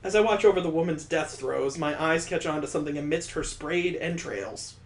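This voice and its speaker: A man's voice: fairly high pitch, fairly quick, natural voice. A man reading as a grim, vengeful killer, echoey. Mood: coldly cruel. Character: vengeful, coldly cruel young killer narrating a visual novel. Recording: roomy, echoing sound.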